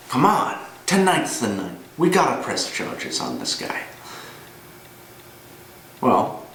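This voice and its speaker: A man performing a dramatic monologue as a gruff, weary older ex-cop, grimly menacing, telling his tale deliberately with pauses. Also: fairly low pitch, full character voice. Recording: roomy, distant mic with noise.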